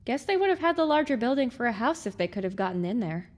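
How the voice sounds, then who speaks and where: A woman's voice: on the high side, big pitch swings, fast. A woman voicing a young pony heroine in a dramatized audiobook, sarcastic, exclamatory and given to scolding herself. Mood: musing. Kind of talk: dramatized audiobook character acting.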